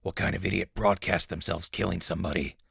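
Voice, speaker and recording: An actor playing a gruff, curt undercover infiltrator among raiders in a post-apocalyptic audio drama, with a rough voice. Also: male voice, low voice, little pitch movement, fast, a bit bright, dry recording, studio quality, full character voice. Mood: stern, scornful. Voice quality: gravelly, rough. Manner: curt, bossy.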